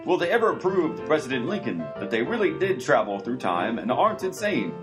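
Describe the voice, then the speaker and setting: A man's voice: fairly high pitch, big pitch swings, fairly quick, music in the background. A man playing a melodramatic radio serial announcer, posing cliffhanger questions in scripted narration. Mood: mock suspense.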